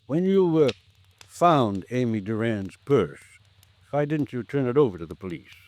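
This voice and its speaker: An American actor playing a courteous small-town attorney in a 1950s radio drama, asking measured, polite questions. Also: male voice, medium pitch, big pitch swings, a little mellow, close to an everyday voice. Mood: probing, courteous.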